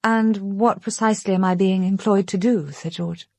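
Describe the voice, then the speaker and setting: A woman's voice: mid-range voice, steady tone, normal speed, darkish tone, no echo, lightly acted. Cool, precise British woman detective played by an actress. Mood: reserved, probing. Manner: reserved, precise, questioning. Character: cool, businesslike female private detective. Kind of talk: radio drama acting.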